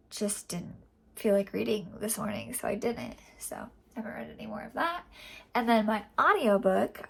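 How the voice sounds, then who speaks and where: A woman's voice: medium pitch, vocal fry. A young woman with a cold and a congested, nasal voice, chatting casually in a rambling reading update full of fillers. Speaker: book vlogger.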